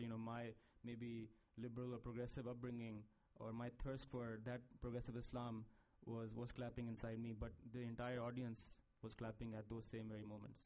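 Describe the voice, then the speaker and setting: Halting man speaking on a panel. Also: medium pitch, darkish tone, poor audio. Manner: halting, many fillers and restarts. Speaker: panelist, film producer. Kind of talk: conference panel talk.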